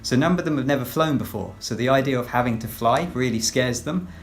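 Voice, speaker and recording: English man speaking in measured tones. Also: medium pitch, a little hiss or hum. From England, West Sussex. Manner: measured, with frequent fillers. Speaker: children's pastor. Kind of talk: recorded personal update.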